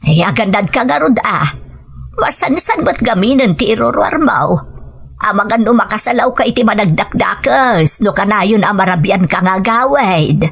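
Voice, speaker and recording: A woman voicing a gentle, concerned elderly mother-in-law with an Ilocano accent, in a motherly, cautioning manner for an audio drama. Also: mid-range voice, animated, moderate pace, creaky, husky, voice pushed down. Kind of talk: radio-style drama acting.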